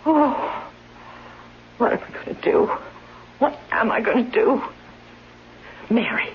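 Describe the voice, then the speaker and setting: A woman's voice: a little high, lively intonation, slow, a little hiss or hum. An actress playing a desperate bedridden wife in a 1970s radio drama, pleading and agitated, convinced she is in danger. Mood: anxious, agitated. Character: desperate bedridden invalid wife fearing she is being poisoned. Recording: muffled old radio recording.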